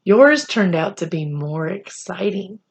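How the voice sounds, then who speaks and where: A woman's voice: on the low side, lively intonation, unhurried, husky. A woman voicing a guarded, earnest schoolboy with a secret, giving short, evasive answers in a storybook.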